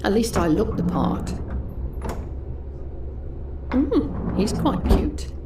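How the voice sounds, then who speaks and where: A woman's voice: mid-range voice, sing-song, drawn-out words, heavy background noise. An actress playing a jaded, snobbish young British woman who confides private thoughts in a confessional, sardonic audio drama monologue. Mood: haughty, sardonic. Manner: confessional, sardonic, muttering asides to herself.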